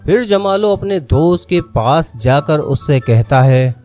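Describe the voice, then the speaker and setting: A male narrator reads a scripted story. His voice sits slightly high, and music plays behind him. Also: animated, a little mellow.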